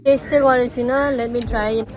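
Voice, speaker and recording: A woman's voice: a little high, steady tone, brisk, noisy background. A young woman from Sikkim, a native Nepali speaker, chatting casually as she narrates a travel vlog outdoors and in a restaurant. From Sikkim, India. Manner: chatty and easygoing, with many fillers. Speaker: vlogger. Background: background music.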